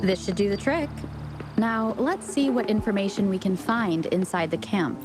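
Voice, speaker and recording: Guarded game woman, middling pitch acted. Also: normal speed, natural voice. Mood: serious. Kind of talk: video game voice acting. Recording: music behind the voice.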